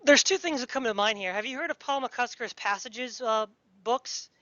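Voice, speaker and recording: A woman's voice: fairly high pitch, moderate pace, a bit bright, no room sound. A woman hosting a casual podcast interview in an informal, enthusiastic way. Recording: dry, dull lo-fi audio.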